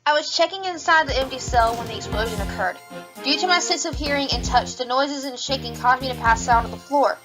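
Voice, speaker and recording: Girl playing a bunny cop on the stand, highish voice, earnest n defensive, music behind, audio drama. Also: fairly quick, natural voice. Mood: solemn, apologetic. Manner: careful, formal testimony. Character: earnest, defensive female rabbit police officer. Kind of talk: fan audio drama acting.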